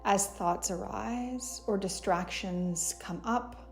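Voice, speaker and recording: A woman guiding a yoga meditation in a soothing, gentle, unhurried way, as a teacher giving calm instructions. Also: mid-range voice, gravelly, soft background music. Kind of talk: guided meditation narration.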